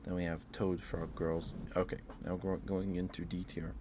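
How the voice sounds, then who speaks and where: A man chatting casually as an ASMR live streamer, in a halting, informal way. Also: low-pitched, level tone, noisy background. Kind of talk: casual ASMR livestream chat.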